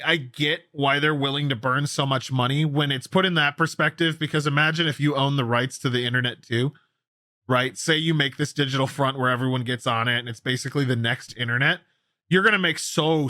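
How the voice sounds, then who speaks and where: A man's voice: medium pitch, fairly even intonation, normal speed, pushed and bright, no echo, clean studio sound. A man hosting a gaming news show with casual, opinionated commentary, often repeating words for emphasis.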